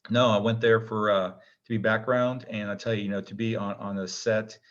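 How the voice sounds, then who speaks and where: A man with a fairly low voice chats casually as an interview guest. He rambles modestly and hesitantly, and the recording is somewhat dull and lo-fi. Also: little pitch movement, moderate pace. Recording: dull, lo-fi connection.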